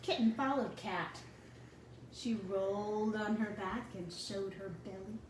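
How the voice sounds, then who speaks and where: A woman reading a picture book aloud to young children in a deliberate way, pausing for asides to the listeners. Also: medium pitch. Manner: slow, deliberate phrasing for children. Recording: roomy, distant mic with clear background noise.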